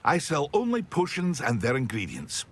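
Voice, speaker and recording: A boastful potion shopkeeper in a fantasy game, raspy, lots of up and down. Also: male voice, a little high, huge pitch swings, normal speed, exaggerated voice. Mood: jovial, boastful. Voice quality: husky. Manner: chuckling, boastful. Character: proud, jovial male village potion seller. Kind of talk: video game shopkeeper dialogue.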